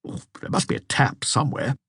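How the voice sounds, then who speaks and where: A man voicing a brisk, clinical, spry old police doctor in an audiobook. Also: fairly bright tone, dry recording, clean studio sound, natural voice. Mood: matter-of-fact.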